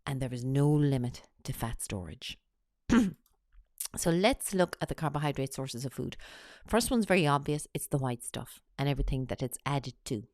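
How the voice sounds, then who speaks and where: A woman talks through a slide presentation. She has a cold and explains each point in a steady, teacherly way. The sound is close and dry, with no room around it. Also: rumbling low, normal speed, dry recording. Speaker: weight-loss coach.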